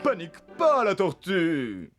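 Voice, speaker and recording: A boastful, hurried cartoon zebra with a lively, swooping delivery. It brags eagerly over background music. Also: mid-range voice, full character voice.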